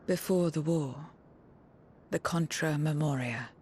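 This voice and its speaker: A woman's voice: deep voice, measured pace, a little mellow, a little hiss or hum. A woman voicing an aloof, world-weary female void creature in a video game cutscene, speaking in solemn, terse phrases. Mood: mournful. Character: aloof, world-weary female voidsent returned to true form. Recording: music behind.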